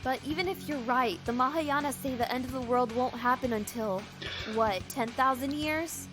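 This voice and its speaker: A woman voicing a bold, brisk young heroine in a visual novel, matter-of-fact and challenging in manner. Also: high-pitched, over music, lightly acted. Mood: defiant.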